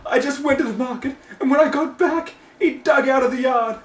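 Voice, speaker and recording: A man's voice: extremely high pitch, fairly quick, voice pushed up. A man voicing an anxious, easily flustered female pony, a doting pet owner, in a story reading. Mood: wailing panic. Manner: pleading, easily flustered, melodramatic. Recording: roomy, distant mic, faint noise.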